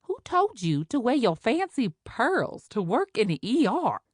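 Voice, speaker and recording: Woman doing a brash creole head nurse, high and loud, drawling, bossy and teasing. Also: sing-song, unhurried, husky, dry recording, studio quality, exaggerated voice. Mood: scolding.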